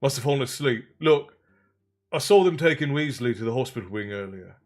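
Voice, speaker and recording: A man's voice: mid-range voice, animated, brisk, a little mellow, close to an everyday voice. A man voicing a cocky, hulking teenage athlete who is pushy and pleased with himself, in a storybook reading. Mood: smug, pushy. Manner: self-satisfied, presumptuous.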